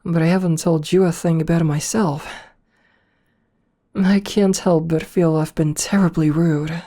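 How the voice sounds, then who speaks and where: A woman playing a rich, possessive, seductive female CEO in an ASMR roleplay, softly formal and coaxing, with a politely commanding manner. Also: low-pitched, steady tone. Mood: politely attentive. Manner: slow, softly formal, coaxing with pet names.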